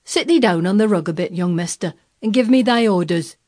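Woman putting on a gruff old Yorkshire gardener. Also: medium pitch, brisk, darkish tone, pitched above the performer's own voice, lightly acted. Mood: dry exultation. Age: older. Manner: dry, gruff, grumpy. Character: crabbed, tender, shrewd old Yorkshire male gardener.

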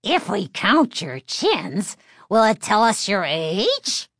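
A woman voicing a nasty male ventriloquist dummy in a horror storybook, raspy and sneering as it drags out gleeful insults. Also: mid-range voice, huge pitch swings, a bit bright, no room sound, studio quality, exaggerated voice. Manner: slow, sneering, drawn-out insults.

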